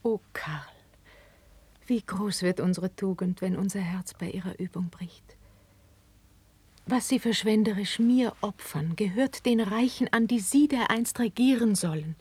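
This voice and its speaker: A woman's voice: medium pitch, unhurried, long pauses, no echo. An actress playing a noble, dignified young queen in a classical verse radio play of the 1950s, speaking solemnly and declaiming. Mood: tender, sorrowful. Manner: declamatory, hushed and breathy, solemn. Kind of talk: 1950s German stage-style radio acting of classical verse.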